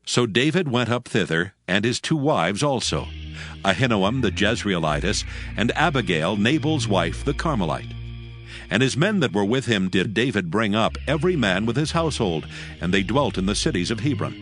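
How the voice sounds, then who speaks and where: Low voiced guy narrating bible passages, steady and measured, faint music. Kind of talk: scripted scripture narration. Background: faint background music.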